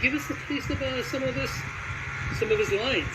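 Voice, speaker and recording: A man's voice: very high-pitched, a little fast. A man asking questions as an interviewer in a casual, chatty way. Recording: loud ambient background noise.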